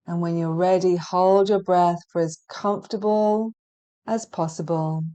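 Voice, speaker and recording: A woman leading a guided relaxation meditation in a soothing, gentle and measured manner, leaving long pauses between phrases. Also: fairly low pitch, fairly even intonation. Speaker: hypnotherapy practitioner guiding meditation. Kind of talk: guided relaxation meditation, hypnotic scripted narration.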